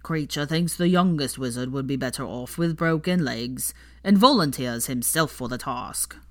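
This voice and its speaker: A woman voicing a servile, spiteful old male house-elf who speaks deliberately in a storybook reading. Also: rumbling low. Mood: eager, devoted. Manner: speaks of himself in third person, deliberate.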